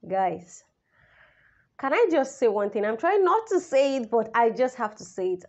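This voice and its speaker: Young woman, slightly raspy voice, getting over flu, chatty, expressive, talks to viewers, indoor vlog. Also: medium pitch, dull audio. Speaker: perfume reviewer. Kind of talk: casual product review vlog. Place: indoors, small room, seated. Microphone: camera in front, head-and-shoulders framing.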